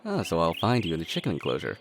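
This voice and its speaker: Low, lively level-headed brother. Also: male voice, a little hiss or hum, exaggerated voice. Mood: teasing. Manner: measured, wry, reasoning. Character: level-headed, dorky adult brother from a wealthy family. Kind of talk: audio drama acting.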